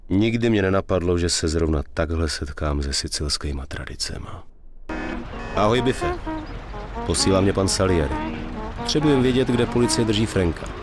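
A man's voice: deep voice, lively intonation, over music. A man playing a cool, loyal mafia henchman in a video game, polite but insistent. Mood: obedient, sober. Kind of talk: dubbed video game dialogue.

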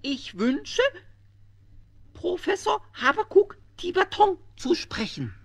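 A man's voice: very high voice, huge pitch swings, slow pace, gravelly, full character voice. A man voicing a bratty, boastful little dinosaur child who plays king, giggly and drawling, in a 1970s children's radio play. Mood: self-important. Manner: gleeful, boastful, giggly, stretching his words.

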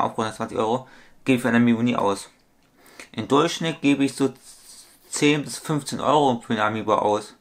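Man in his thirties vlogging from a small home room on a close webcam. He talks in a loose, rambling way, circles back on his points and corrects his own sums out loud. His pitch sits right in the middle. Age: 30s. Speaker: toy collector vlogger. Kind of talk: casual vlog monologue.

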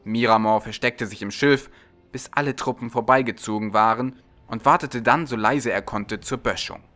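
A man with a medium-pitched voice reads a story aloud. He delivers scripted narration in the manner of an audiobook reader. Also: moderate pace, fairly bright tone, faint music behind.

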